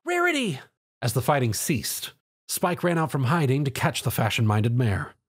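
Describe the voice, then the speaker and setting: A man reading an action story aloud as a scripted narration in an animated way. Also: mid-range voice, normal speed, husky.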